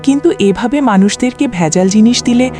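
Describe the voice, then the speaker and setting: A woman playing a worried, conscientious village wife in a cartoon, gently objecting and questioning. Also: a little high, expressive, quick pace, faint music behind, lightly acted. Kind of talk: cartoon moral-tale acting.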